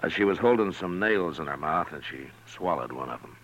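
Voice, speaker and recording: An actor playing a stern, steady American frontier marshal in a 1950s radio western, measured and plain-spoken. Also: male voice, low voice. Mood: somber, gentle. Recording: dull old radio recording.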